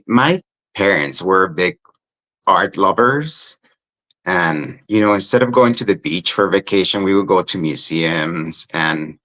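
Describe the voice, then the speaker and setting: A theatre director from Mexico answers questions in a very low, deep voice. He speaks reflectively and pauses on fillers while he gathers his thoughts. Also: a bit bright. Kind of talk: interview answers.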